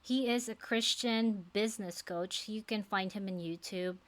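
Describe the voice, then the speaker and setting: A woman's voice: mid-range voice, measured pace. A woman in her forties from the Philippines with a Filipino accent, English not her first language, talking earnestly to camera as a faith-based life coach, with many fillers. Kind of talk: casual talk to camera.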